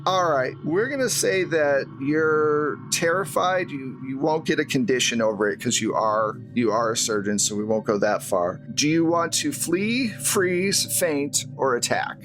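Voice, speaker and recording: A man's voice: on the high side, animated, unhurried, few pauses. A man running a tabletop horror game as game master, describing scenes in a casual way. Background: background music.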